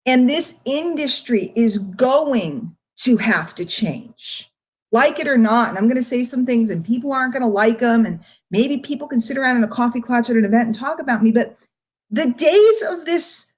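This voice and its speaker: A middle-aged American woman giving a candid, off-the-cuff monologue to her followers, emphatic and confrontational, repeating phrases for stress. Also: high voice. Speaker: online marketer speaking to followers.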